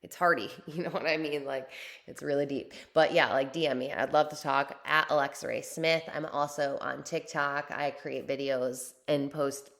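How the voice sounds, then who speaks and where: A young woman hosting a podcast, talking casually and enthusiastically about her coaching program, her voice a little raspy from teaching, with lots of "like" and casual swearing. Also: sits low, steady tone, normal speed, fairly bright tone. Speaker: podcast host and spiritual coach. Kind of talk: casual monologue promoting a course.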